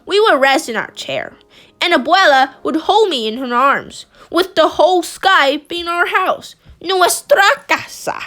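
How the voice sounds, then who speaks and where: Slow, expressive woman reading a picture book. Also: very high-pitched, faint music behind. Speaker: storybook reader. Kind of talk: read-aloud picture book narration.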